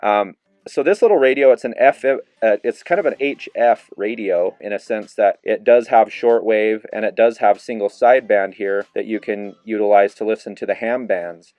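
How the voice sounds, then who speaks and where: Low, smooth-voiced man reviewing a radio. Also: normal speed, no room sound. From Idaho. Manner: relaxed, easygoing with fillers, demonstrating a device. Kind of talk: casual product review.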